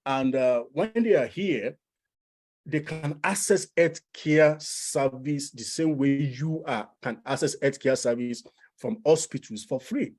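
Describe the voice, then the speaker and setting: A man's voice: mid-range voice, takes it slowly, darkish tone. Nigerian man giving an informal explanatory talk. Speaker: immigration coach and presenter. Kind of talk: informal explanatory presentation to an audience.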